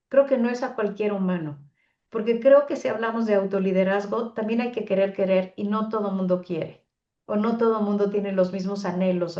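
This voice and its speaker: A woman hosting an interview, asking long, probing questions in an enthusiastic, warm way. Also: mid-range voice. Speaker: interviewer host. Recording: roomy, slightly dull.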